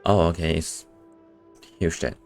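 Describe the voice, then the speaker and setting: A man with a low voice comments casually on a game he is streaming. His reactions are short and offhand, with faint music in the background. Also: flat delivery, measured pace, creaky. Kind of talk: casual live playthrough commentary.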